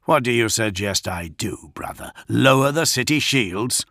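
A man's voice: mid-range voice, expressive, a little slow, bright, forceful tone, dry recording, studio quality. A man voicing a proud, suspicious warlord in an audiobook, clipped, accusing and full of authority. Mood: bitter, accusing. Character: stern, commanding male warlord, suspicious and proud. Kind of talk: audiobook character acting.

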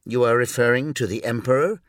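A man's voice: mid-range voice, a little fast, no room sound, studio quality, lightly acted. A man voicing a weary, sardonic, long-lived human in an audiobook, with a bitter, sarcastic edge. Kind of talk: audiobook reading.

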